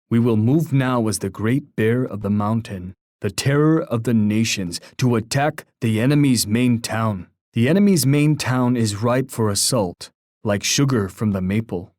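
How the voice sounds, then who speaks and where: An actor playing a proud, poetic Native war chief in a video game, speaking in a formal, grandiose, oratorical manner. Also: male voice, mid-range voice, darkish tone, no echo, studio quality, lightly acted. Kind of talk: scripted video game voice lines.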